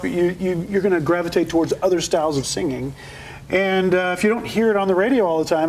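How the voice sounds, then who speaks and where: A man's voice: high-pitched, darkish tone, slight background noise, low-quality recording. A man who runs an opera festival, speaking enthusiastically as a guest on a morning TV show in a studio. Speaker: opera festival executive director.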